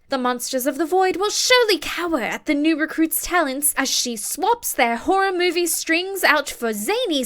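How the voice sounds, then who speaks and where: Sci-fi podcast role: actress as a cheeky galactic newsreader, high-pitched, wry announcer patter. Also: female voice, a bit bright. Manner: tongue-in-cheek announcer delivery. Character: cheeky female space tip-line news reporter. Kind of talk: scripted mock news bulletin.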